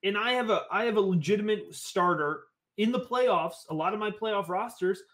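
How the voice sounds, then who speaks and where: A talkative man from Connecticut chats as a podcast guest, his voice sitting a little high. He rambles eagerly, circling back and restating his points. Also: normal speed, a bit bright. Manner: enthusiastic, rambling, repeats phrases. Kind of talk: casual podcast chat about fantasy football.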